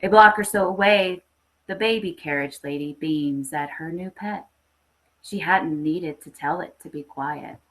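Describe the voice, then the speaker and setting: A woman's voice: low-pitched, measured pace, rough recording. A woman who hosts a story podcast, reading aloud and speaking with enthusiasm. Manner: enthusiastic, chatty. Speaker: podcast host and story reader. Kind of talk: dramatic story reading, then casual live hosting.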